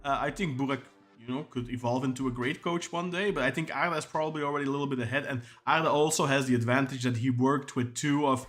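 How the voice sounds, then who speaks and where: A man's voice: mid-range voice, steady tone, fast talker, hardly any pauses, a bit bright. A man co-hosting a casual football podcast, chatting and reading viewer comments aloud. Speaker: podcast co-host.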